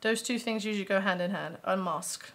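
A woman's voice: medium pitch, a little fast, a bit bright. A young woman chatting casually as she plays a card game on a live stream at home, reading cards aloud and thanking viewers. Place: home room.

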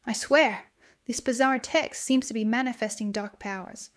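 A young female student , played by a voice actress, confides in her video diary in a fairly high voice. The delivery is dramatic, made for a horror audio story, and the sound is close and dry. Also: normal speed, no echo, close to an everyday voice. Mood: uneasy, obsessed.

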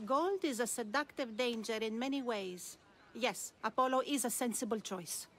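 A woman's voice: on the high side, normal speed, a bit bright, slight background noise, close to an everyday voice. An actress playing a shrewd, enigmatic older woman of ancient Greece in a video game, composed and cryptic. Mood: testing, composed.

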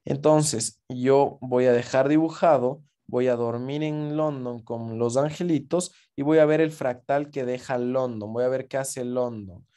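Guy walking thru forex charts, mellow warm voice, chatty, keeps checking in w viewers. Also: medium pitch, steady tone, a little slow, few pauses. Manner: casual, explanatory, frequent "¿ok?" check-ins. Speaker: forex trading instructor. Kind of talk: screen-share trading lesson.

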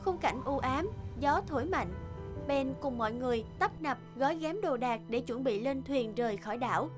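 A woman's voice: high-pitched, animated, moderate pace. A woman reading scripted fairy-tale narration as the narrator of a cartoon. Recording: music bed behind the voice. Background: background music.

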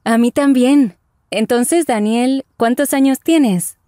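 A woman's voice: on the high side, slow, mellow, no room sound, clean studio sound. Woman voicing Isabella, a friendly young traveler. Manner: clear careful enunciation. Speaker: voice actor in language lesson dialogue. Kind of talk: scripted slow and normal-speed lesson dialogue.

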